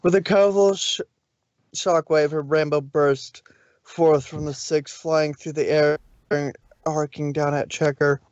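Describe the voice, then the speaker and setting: A man narrates a story in an amateur reading style. His voice sits a bit high, and he can trip over words as he reads. The sound is dry and somewhat dull, from a close home microphone. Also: steady tone, measured pace, darkish tone, dry recording.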